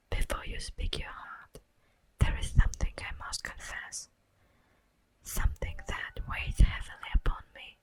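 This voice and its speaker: A woman voicing an ancient, tender and wistful elf in a breathy whispered ASMR fantasy roleplay, speaking solemnly and poetically with long pauses. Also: a bit bright, natural voice, poor audio. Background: music.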